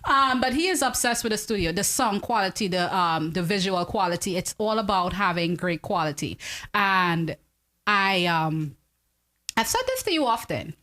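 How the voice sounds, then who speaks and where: A woman's voice: medium pitch, expressive, moderate pace, pushed and bright. A woman co-hosting a live studio show, chatting casually with playful teasing and frequent laughter. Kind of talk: lively casual studio banter.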